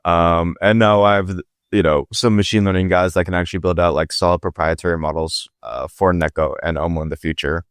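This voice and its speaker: Low, smooth-voiced man talking casually. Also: fairly even intonation, brisk, few pauses, no room sound, studio quality. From Pakistan. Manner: casual, filler-heavy, lots of "like" and "right?". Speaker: guest, startup founder. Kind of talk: casual podcast interview.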